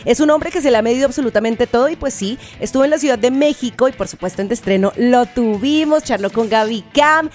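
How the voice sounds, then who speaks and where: A woman's voice: a little high, fast, few pauses, darkish tone, faint music behind. A woman presenting film news on a morning show, enthusiastic and fluent. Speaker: film critic. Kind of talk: entertainment segment chat.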